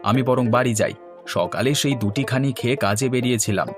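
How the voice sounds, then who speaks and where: A man's voice: medium pitch, quick pace, close to an everyday voice. A man voicing a helpful, bossy, older-brotherly working man in a fairy-tale cartoon. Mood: kindly firm. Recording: music playing under the voice.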